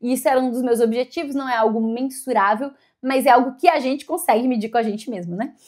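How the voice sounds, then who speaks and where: A woman's voice: on the high side, quick pace, darkish tone. A woman from Brazil giving a casual vlog update, chatty, with many fillers and self-corrections. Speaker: vlogger.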